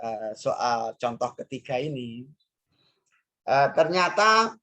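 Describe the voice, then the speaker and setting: A woman's voice: a bass voice, sing-song, takes it slowly, a bit bright. A woman teaching math, talking through each step aloud with rhetorical questions and frequent hesitations. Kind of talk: math lesson explaining worked examples.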